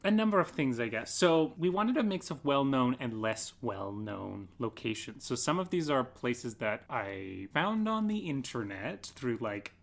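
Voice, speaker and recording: A man's voice: mid-range voice, sing-song, unhurried, gravelly, few pauses, a bit bright. A man chatting casually as an interview guest, explaining things informally and saying "like" often. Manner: informal storytelling with frequent "like". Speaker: guest theatre artist.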